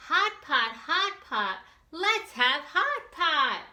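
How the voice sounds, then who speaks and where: A woman leading a children's storytime as a librarian, reading aloud in an expressive, deliberate, sing-song way. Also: high-pitched. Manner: slow, deliberate, expressive delivery with repetition. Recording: roomy, echoey sound.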